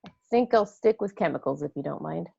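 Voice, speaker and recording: A woman's voice: low-pitched, animated, fairly quick, gravelly, mellow, husky, exaggerated voice. A woman playing a weary, tense, fatalistic spaceship navigator at a table read, on a lo-fi recording. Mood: bleak. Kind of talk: amateur screenplay table read.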